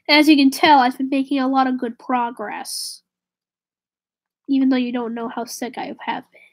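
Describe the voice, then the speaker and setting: A woman live streaming and chatting casually with viewers, slightly raspy with a stuffy nose from a cold, rambling and correcting herself. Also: high-pitched, level tone, unhurried, pauses often, poor audio. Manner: rambling, self-correcting, drifts to a whisper.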